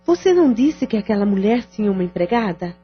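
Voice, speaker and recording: An actress playing a caring, sensible sister in an audio drama, speaking in a calm and reassuring way. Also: female voice, medium pitch, fast, husky. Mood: gentle. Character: caring, sensible sister who supports her brother. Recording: dull lo-fi audio with faint music.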